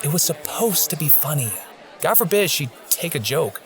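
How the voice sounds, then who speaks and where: A man's voice: fairly high pitch, sing-song, normal speed, crowd noise. A man playing a cocky, defensive young college jokester in an audio drama, with slangy, indignant delivery. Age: young adult.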